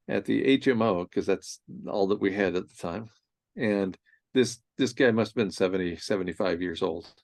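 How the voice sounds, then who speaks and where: Gravelly low-voiced man in his sixties. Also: no echo. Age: 60s. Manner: animated storyteller, laughs often. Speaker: host. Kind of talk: casual conversation.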